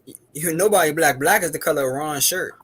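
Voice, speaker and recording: A man's voice: on the high side, quick pace, a bit bright, a little hiss or hum. A man on a livestream panel, explaining his view point by point with examples. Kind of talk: casual panel discussion.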